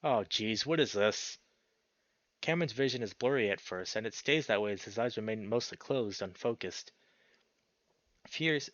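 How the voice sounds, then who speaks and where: A man's voice: fairly low pitch, fairly bright tone, no room sound. Man playing and narrating a game, slightly muffled. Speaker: streamer reading a visual novel aloud. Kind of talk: casual let's-play commentary and narration.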